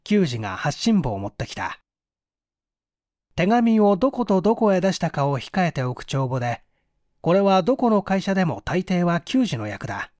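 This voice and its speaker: A man's voice: high voice, very animated, moderate pace, darkish tone, no room sound, studio quality. A man reading a mystery story aloud as a scripted, dramatic audiobook narration. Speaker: audiobook narrator, stage performer.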